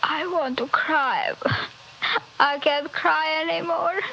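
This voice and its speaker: A woman's voice: sits high, steady tone, slow, creaky, pushed and bright, exaggerated voice. An actress playing a desperate, panicky honeymooning wife in a 1960s horror radio drama, pleading and repeating her words. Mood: tearful, sullen. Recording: dull, noisy old radio recording.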